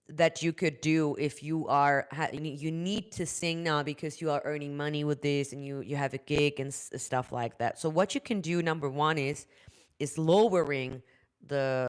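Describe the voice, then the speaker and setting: A German-accented woman, a vocal coach, talking casually to camera about singing. She speaks in a chatty, looping way, restarting and repeating words and tagging her points with quick "right?" checks. English is her second language, and it shows in her phrasing. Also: deep voice, smooth.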